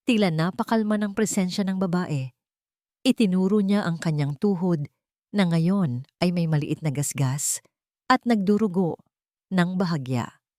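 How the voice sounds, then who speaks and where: A woman narrating a drama story in a calm, measured, expressive way. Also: on the low side, no room sound, clean studio sound.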